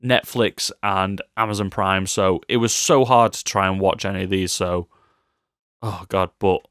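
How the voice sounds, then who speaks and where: A man's voice: sits low, fairly even intonation, a little slow, fairly bright tone, clear, resonant tone, no room sound, studio quality. A British man talking casually and colloquially as co-host of a film podcast. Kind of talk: casual film review chat.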